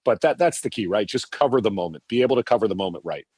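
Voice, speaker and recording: A middle-aged man with a low voice explains the work behind a live TV show. He talks in loose, rambling runs, doubling back on his words. The sound is dry and close. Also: lively intonation, quick pace, a little mellow, breathy edge, no room sound. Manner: rambling with many restarts and repeated words. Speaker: TV producer guest. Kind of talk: podcast interview answers.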